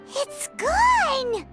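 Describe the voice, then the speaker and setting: Squeaky, tiny, excitable fairy girl. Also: full character voice. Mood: amazed. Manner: exaggerated, cutesy, refers to self in third person. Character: tiny squeaky childlike floating girl companion, excitable and curious. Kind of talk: video game voice acting.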